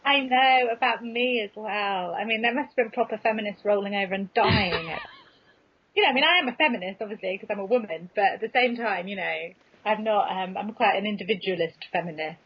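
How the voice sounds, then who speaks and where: A woman's voice: mid-range voice, fairly quick, a little hiss or hum. A woman talking candidly and casually as a podcast guest, telling comic anecdotes in a self-deprecating way, on a muffled lo-fi recording. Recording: dull, muffled lo-fi podcast audio.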